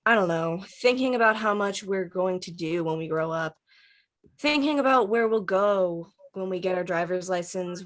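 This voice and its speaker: A woman's voice: lowish voice, natural voice. A woman reading an introspective, dryly witty teenage boy in conversational screenplay table read dialogue.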